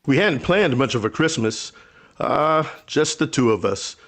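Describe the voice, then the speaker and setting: An actor playing a kindly older rural storekeeper in a radio play, folksy, halting, hospitable and a little wistful. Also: male voice, mid-range voice, animated, fairly bright tone. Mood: jovial, welcoming. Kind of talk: old-time radio drama acting.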